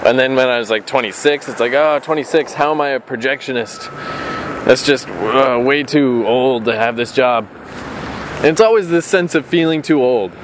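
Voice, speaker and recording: A man's voice: medium pitch, normal speed. A man in his late twenties walking through a rainy city, musing casually into his camera and swearing freely. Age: 20s. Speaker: vlogger. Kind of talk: walking vlog, rambling reflection. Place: outdoors on rainy city streets. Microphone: handheld camera mic. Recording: outdoor camera audio with street noise. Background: street and traffic noise.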